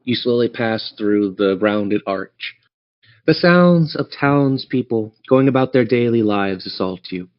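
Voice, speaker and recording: A man's voice: on the low side, dry recording, muffled recording. A man narrating a fantasy tabletop game dramatically as game master.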